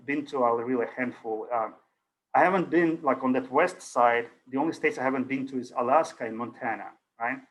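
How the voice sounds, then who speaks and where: A man's voice: mid-range voice, a bit bright, low-quality recording. Man from Ukraine, native Russian speaker, middle-aged, university lecturer, rambling chatty talk, video call mic. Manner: chatty and rambling, many fillers and restarts.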